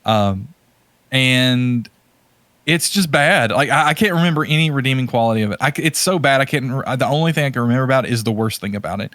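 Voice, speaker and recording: A man's voice: medium pitch, fast talker, a bit bright, no echo. A man chatting casually as a podcast co-host, telling anecdotes in slangy, emphatic, exaggerated language. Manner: informal slangy speech, emphatic and hyperbolic.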